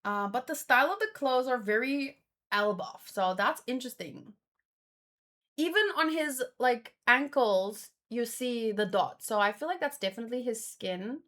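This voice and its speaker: A woman hosting a read-along in a casual live commentary style, with expressive, exclamatory reactions. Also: fairly high pitch, moderate pace. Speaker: host of a manga read-along stream.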